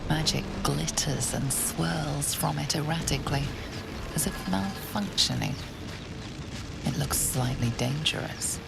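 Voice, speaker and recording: A measured, ominous female storyteller in a fantasy game. Her voice sits fairly low with heavy creak, and loud music surrounds it. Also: full character voice. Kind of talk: scripted fantasy game narration.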